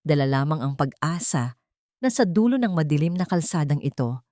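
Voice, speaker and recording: Low voiced lady reading a dramatic audio story, dry sound. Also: big pitch swings, normal speed, dark tone, dry recording. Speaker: narrator.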